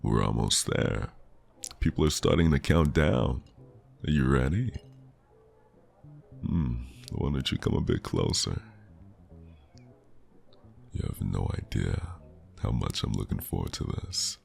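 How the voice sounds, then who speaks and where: Very deep, gravelly flirty man, voice-acted. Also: sing-song, slow pace, long pauses, darkish tone, soft background music, full character voice. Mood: tender, eager. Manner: smooth-talking, teasing, lingering delivery. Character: flirty charming male stranger at New Year's party. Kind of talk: scripted ASMR roleplay, intimate and teasing.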